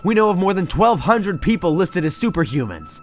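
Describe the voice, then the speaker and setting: Man acting as stern doctor, higher-pitched male voice. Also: big pitch swings, faint music behind. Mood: outraged. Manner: intense, accusatory, deliberate delivery. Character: stern, brooding male doctor, indignant and morally driven. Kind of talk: dramatic anime dub acting.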